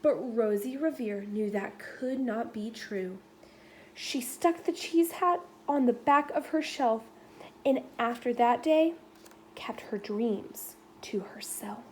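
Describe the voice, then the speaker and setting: High-voiced woman reading a children's storybook. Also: lively intonation, slow, a little mellow, poor audio. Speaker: storybook reader. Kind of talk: expressive children's read-aloud narration in rhyme. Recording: faint background noise.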